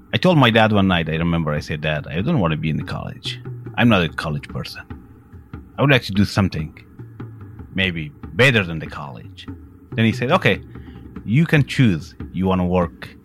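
Middle-aged Afghan man, deep voice, plain direct storyteller, Dari native. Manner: plain, direct storytelling with uh fillers and simple grammar. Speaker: former interpreter, guest. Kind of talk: recounting personal story.

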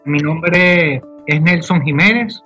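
A man's voice: a little high, slow, sharp tone, husky, soft background music. A man, a garment maker explaining his work step by step in an interview. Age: 40s.

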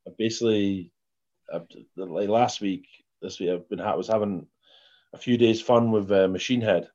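A man chatting casually in a hesitant, halting way. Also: on the low side, steady tone, a little mellow. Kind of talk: casual chat. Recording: dull, muffled lo-fi sound.

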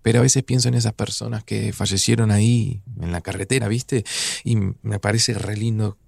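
A gravelly nostalgic Argentine father. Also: on the low side, fairly even intonation, normal speed, no echo. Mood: wistful. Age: older. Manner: gentle, wistful, reflective. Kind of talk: audio drama acting.

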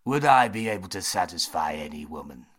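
A man's voice: sits low, animated, brisk, a bit bright. A man voicing a wealthy, vain man who speaks firmly and insists on what he wants. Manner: firm, insistent.

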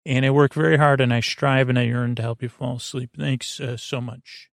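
Creaky-voiced man rambling a bedtime monologue. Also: medium pitch, fairly even intonation. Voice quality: creaky, gravelly. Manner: meandering, halting, often trailing off mid-thought. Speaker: podcast host. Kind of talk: rambling bedtime monologue to help listeners sleep.

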